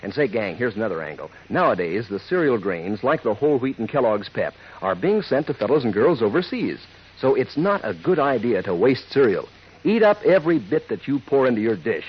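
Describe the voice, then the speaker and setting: Husky 1940s radio pitchman, swooping delivery. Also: sing-song, brisk. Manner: chummy, folksy salesman patter addressed to children. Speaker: radio announcer and cereal pitchman. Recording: dull old radio broadcast recording.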